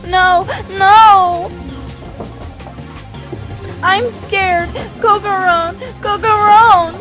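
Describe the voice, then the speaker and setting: A woman's voice: extremely high pitch, steady tone, very slow, a bit bright, soft background music, full character voice. A woman playing a frightened, clingy young boy in an anime fandub, whiny and pleading. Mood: panicked pleading. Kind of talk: anime visual novel fandub acting.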